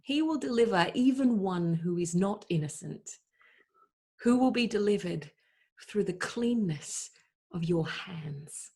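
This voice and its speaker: A woman playing a solemn, pious counselor who exhorts with deliberate, emphatic phrasing in a dramatic scripture reading. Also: mid-range voice, expressive, warm tone, husky. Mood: earnest exhortation.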